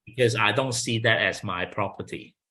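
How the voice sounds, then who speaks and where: A man's voice: lowish voice, moderate pace, bright, forceful tone. A man with a Chinese accent, a kung fu instructor speaking in an easy, reflective way and often adding "you know". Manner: thoughtful, frequent you-knows, light laughter. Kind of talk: casual conversational interview.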